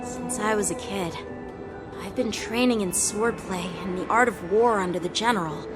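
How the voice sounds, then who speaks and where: A woman's voice: mid-range voice, moderate pace, natural voice. A woman voicing an earnest, eager teenage boy, a proud young knight in a video game. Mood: proud, resolute. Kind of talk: English video game voice acting. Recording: music behind the voice. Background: game soundtrack music.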